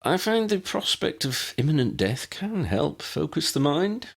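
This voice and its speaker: A man's voice: a little high, big pitch swings, measured pace, gravelly, pitched above the performer's own voice, full character voice. A man voicing an articulate, wry advisor in an audiobook, speaking in a wordy, formal manner. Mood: dryly witty.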